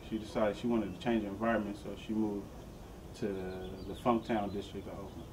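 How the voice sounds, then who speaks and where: A man's voice: on the low side, little pitch movement, brisk, recorded from a distance, background noise. An American man giving casual, plain answers in a documentary interview.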